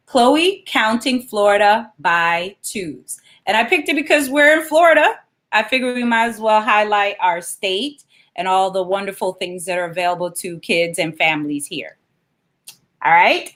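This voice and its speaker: Female children's author for an informal story time. Medium-pitched voice, talking and reading to young listeners. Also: fairly bright tone. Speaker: guest children's author and elementary librarian. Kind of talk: informal introduction and read-aloud for children.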